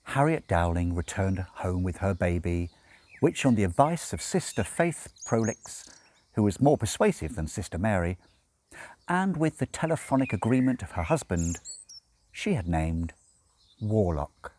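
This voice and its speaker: A man with a fairly low voice narrates a novel. His intonation swings widely and animatedly as he reads. He phrases each line dramatically, leaving deliberate pauses for effect. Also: sing-song, a little mellow. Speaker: audiobook reader. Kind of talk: expressive scripted narration of a novel.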